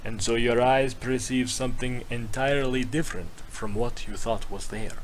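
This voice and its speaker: Wise mystical elder guide, played by a man: quiet, measured, solemn fantasy game delivery, faint noise behind. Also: medium pitch, fairly even intonation, close to an everyday voice, poor audio. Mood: calm, solemn.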